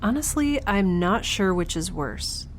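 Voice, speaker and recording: A woman's voice: medium pitch, expressive, a little slow, a little hiss or hum, natural voice. A woman playing a wry, anxious, wistful young woman alone in space, talking casually in an audio drama diary. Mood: sardonic, anxious. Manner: casual, conversational, sardonic. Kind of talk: audio drama, first-person recorded diary.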